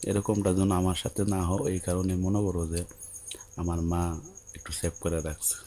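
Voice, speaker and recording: Casting: male actor as dutiful young groom, Bangladeshi accent, very deep mellow voice, placating and hesitant, audio romance drama. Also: flat delivery, normal speed, lightly acted. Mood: earnest, cautionary. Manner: low, subdued, hesitant, placating. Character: hesitant, dutiful young bridegroom soothing his new bride. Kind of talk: Bengali audio story acted as a phone conversation.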